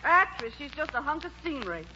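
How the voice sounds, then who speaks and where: A woman playing a brisk, sardonic middle-aged stage star in a 1940s radio drama. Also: sits high, big pitch swings, sharp tone, exaggerated voice. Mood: dry, dismissive. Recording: muffled, noisy 1940s radio.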